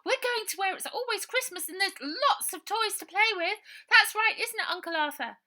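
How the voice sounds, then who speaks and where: A woman's voice: extremely high pitch, lively intonation, moderate pace, pushed and bright, voice pushed up, full character voice. A woman voicing a sweet, trusting little girl in a storybook, childlike and eager. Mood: excited.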